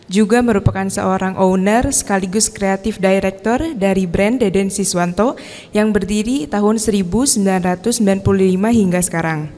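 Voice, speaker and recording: A woman's voice: mid-range voice, normal speed, mellow. A woman with an Indonesian accent reading a formal scripted introduction carefully as an event presenter. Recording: echoey hall with long reverb.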